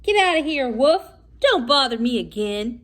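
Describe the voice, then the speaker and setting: Woman doing rude male pig, high loud voice, curt, irritable, yelling, storybook character. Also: very animated, moderate pace. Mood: irritated yelling.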